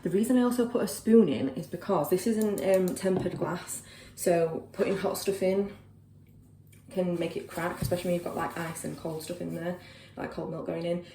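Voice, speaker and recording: A woman's voice: on the low side, normal speed, vocal fry, dark tone, slight background noise, poor audio. A young woman with a Yorkshire accent, chatting casually to her phone camera at home, rambling with lots of fillers and poking fun at herself. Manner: rambling, filler-heavy, self-deprecating. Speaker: vlogger. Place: home, kitchen then bedroom.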